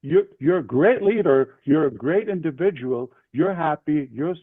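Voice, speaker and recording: A man's voice: on the high side, animated, normal speed, no echo. Male session leader, emphatic motivational business delivery in short punchy phrases, tinny phone-band audio with a dry acoustic. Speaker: leader and main speaker of an online group session. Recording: thin phone-like call audio.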